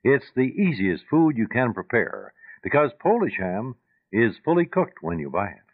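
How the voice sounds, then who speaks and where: A man's voice: medium pitch, very animated, normal speed, slightly rough. A man reading a scripted radio advertisement as a commercial announcer. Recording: tinny old radio broadcast.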